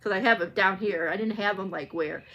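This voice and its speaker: A woman's voice: mid-range voice, fairly quick, bright, forceful tone, dull audio. A woman talking casually to viewers in a rambling, informal book vlog, often getting sidetracked. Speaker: book channel vlogger.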